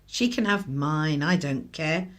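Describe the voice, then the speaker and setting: A woman's voice: deep voice, takes it slowly. An actress playing a harried, sardonic English mother with a dry, scolding manner in a radio drama. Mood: weary, scolding. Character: harried, sardonic working-class mother of a young boy.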